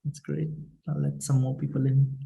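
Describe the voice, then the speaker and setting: A man with a mid-range voice moderates an online session. He speaks informally and haltingly, addressing attendees as he sets out how things will run. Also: mid-range voice, flat delivery, vocal fry, warm tone. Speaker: host and moderator of an online workshop. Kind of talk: welcoming attendees and explaining session guidelines. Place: online video meeting.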